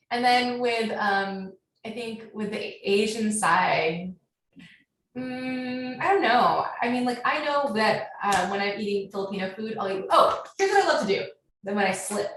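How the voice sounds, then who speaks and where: American woman chatting in a very echoey room. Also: mid-range voice. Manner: animated storytelling with frequent "like". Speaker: author, guest speaker. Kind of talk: casual question-and-answer chat. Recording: very echoey, distant, faint noise.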